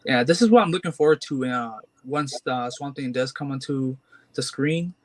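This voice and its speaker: A man's voice: mid-range voice, moderate pace. A man in his twenties, a comic collector, talking casually and hesitantly with many fillers and restarts. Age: 20s. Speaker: guest collector. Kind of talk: casual chat.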